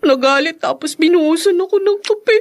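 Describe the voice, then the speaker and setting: A wounded young Filipino housemaid in a radio drama. Her voice is high and full of feeling, that of someone who has endured a lot. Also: fairly quick. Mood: sad, hesitant. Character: long-suffering young housemaid, wounded and emotional.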